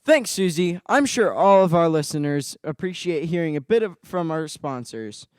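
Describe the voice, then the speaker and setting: Young male radio host: animated scripted announcing, dry studio-like sound. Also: on the high side, moderate pace, clear, resonant tone, dry recording. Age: young adolescent, middle school student.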